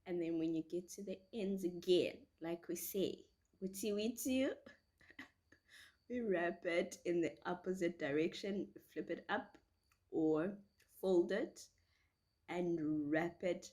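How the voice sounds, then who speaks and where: Woman doing a chill how-to, low voice thats a bit hoarse, soft mellow tone, reassuring. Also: slow. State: slightly hoarse from a cold. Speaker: hairstyle tutorial host. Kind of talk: casual step-by-step tutorial chat.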